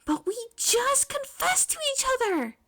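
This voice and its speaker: A woman voicing an excitable, stubborn teenage girl inventor in a story, melodramatic and argumentative. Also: very high-pitched, a little slow, voice pushed up, full character voice. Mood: dramatic protest.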